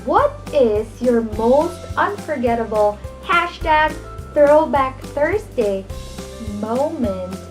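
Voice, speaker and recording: A woman teacher leading a children's storytime, expressive and encouraging, speaking directly to young listeners. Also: high voice, soft background music. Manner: encouraging, addresses children directly with pauses for answers. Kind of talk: children's storytime lesson, expressive read-aloud.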